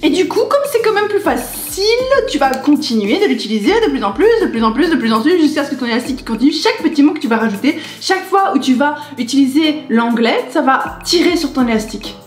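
A woman in her twenties vlogging to camera in a chatty, rambling, animated way, gesturing as she talks. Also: high-pitched, quick pace, few pauses. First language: French. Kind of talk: casual chat to camera. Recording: echoey room with faint music.

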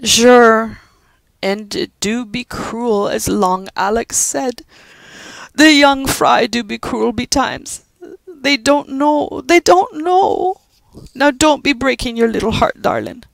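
A woman's voice: a little high, takes it slowly, vocal fry, no room sound, voice pushed up, low-quality recording. A woman doing a wailing old Irish housekeeper. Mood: tender soothing. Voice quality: lilting dialect speech.

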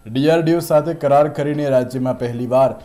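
A man's voice: mid-range voice, brisk, mellow. A man reading scripted news narration as a voice-over. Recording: music bed under voice-over. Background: music.

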